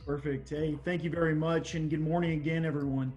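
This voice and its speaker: A man's voice: on the high side, fairly even intonation, brisk, a little mellow, soft background music. A young man with a Texan accent gives a semi-formal presentation in an earnest, explanatory way. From small town Texas, USA. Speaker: development manager presenting on a panel.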